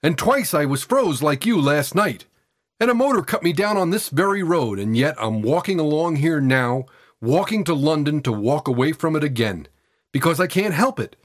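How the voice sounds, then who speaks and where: A man voicing a frail eighteen-year-old tramp boy, cocky, weary and defiant, with husky, breathless, colloquial working-class speech. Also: on the high side, animated, normal speed, no echo, clean studio sound. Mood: intense, insistent.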